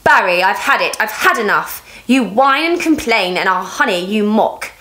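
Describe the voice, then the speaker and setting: A woman voicing an angry, bossy queen bee in a storybook reading, speaking loudly and sharply. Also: mid-range voice, expressive, moderate pace. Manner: loud scolding delivery.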